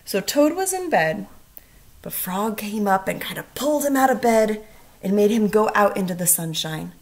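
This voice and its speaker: A woman speaking as a first grade teacher in a children's read-aloud, expressive and putting questions to the listener. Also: medium pitch, normal speed.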